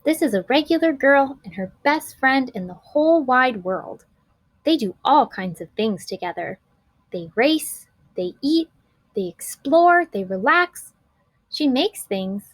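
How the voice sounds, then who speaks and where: A woman reading a children's picture book aloud, expressive and deliberate, putting emphasis on the action words. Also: fairly high pitch, no echo, rough recording. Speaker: read-aloud teacher.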